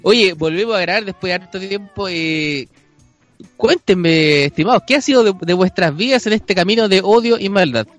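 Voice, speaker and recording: Male comedy host, Chilean accent, voice on the high side, casual and mock-solemn, repeats himself. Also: lively intonation, normal speed, no room sound, soft background music. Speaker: host of a comedy improv show. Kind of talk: casual joking group chat.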